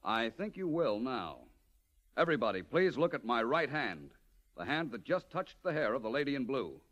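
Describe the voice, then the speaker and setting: An actor playing a suave, commanding private detective in a polished 1940s radio drama style. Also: male voice, mid-range voice, big pitch swings, normal speed, a bit bright, dull audio. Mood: commanding, theatrical. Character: suave, self-assured male private detective, wryly theatrical.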